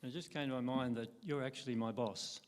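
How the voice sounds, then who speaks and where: An older man, the local pastor, hosting an interview on a church stage. He teases his guest in a friendly way and pauses often with ums. His pitch sits in the middle range. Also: fairly even intonation, background noise. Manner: friendly, teasing, hesitant with ums. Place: church stage before a congregation.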